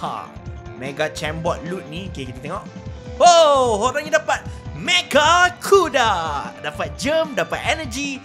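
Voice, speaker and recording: A Malaysian man hosting a gaming video with excited, exclamatory gameplay commentary. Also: very high-pitched, unhurried, soft background music. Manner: exclamatory, animated reactions.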